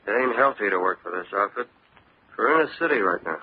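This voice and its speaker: An actor playing a gruff, blunt working-class crew boss in an old radio drama, with a husky voice and slangy talk. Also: male voice, on the low side, animated, quick pace. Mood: gruff, wary. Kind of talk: 1940s radio acting. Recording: muffled old radio recording.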